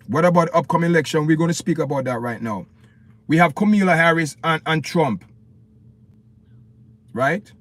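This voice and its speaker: A man from Jamaica with a Jamaican accent, ranting passionately on a livestream to his viewers and repeating his points. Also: a little high. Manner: impassioned, repetitive, preachy, addresses viewers as "people". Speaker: livestream host. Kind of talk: opinionated live-stream rant.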